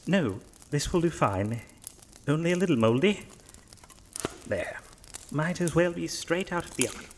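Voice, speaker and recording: A man's voice: a little high, expressive, unhurried, a little hiss or hum. A man playing a devout, hesitant priest in a horror audio drama, polite and formal with a slightly pompous turn of phrase. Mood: gracious.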